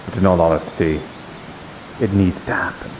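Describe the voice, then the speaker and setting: A low-voiced, devout holy warrior, played by a man in a live fantasy roleplay game. He speaks plainly and earnestly, with solemn, halting phrases and a loyal temper. A faint but steady hiss sits behind the voice. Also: lightly acted. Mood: fervent. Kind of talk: improvised in-character fantasy roleplay. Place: live online VR roleplay stream.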